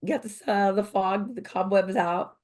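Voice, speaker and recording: Slow, froggy-voiced older woman on a video call. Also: medium pitch, fairly even intonation, a little mellow. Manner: slow, thoughtful, many pauses and fillers. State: froggy voice from illness. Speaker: company founder, guest. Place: home office with bookshelves.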